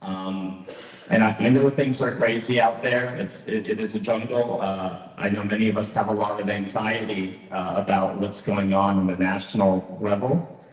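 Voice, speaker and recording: A man serving as mayor, welcoming a town meeting in a halting way, with many fillers and restarts. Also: on the low side, fairly even intonation, lo-fi sound. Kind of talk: public welcome speech. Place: large hall at a town meeting. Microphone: microphone that fails partway. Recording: echoey hall, voice from far off.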